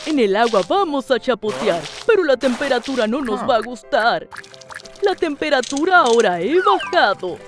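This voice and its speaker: Excitable lion for a kids' cartoon: high, very animated, sing-song rhyming delivery. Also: female voice, normal speed, over music. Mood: eager. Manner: animated, declaims each action in rhyme.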